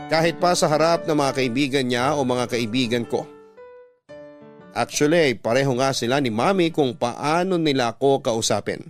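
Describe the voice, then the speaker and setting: A Filipino man narrates a personal letter in a scripted radio drama style. His voice is mid-pitched, with music clearly playing behind him. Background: background music.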